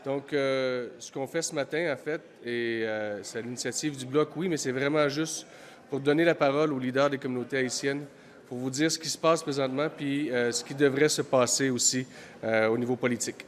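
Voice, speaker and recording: A man's voice: mid-range voice, fairly even intonation, fairly quick. A man opening a press conference, speaking hesitantly with many fillers.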